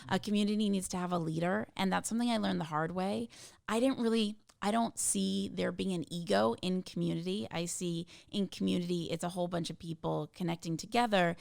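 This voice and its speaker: Gravelly yet smooth-voiced woman. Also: on the low side, no room sound, studio quality. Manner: earnest, passionate, emphatic. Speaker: tech community founder, podcast guest. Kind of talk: conversational interview answers. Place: hotel suite.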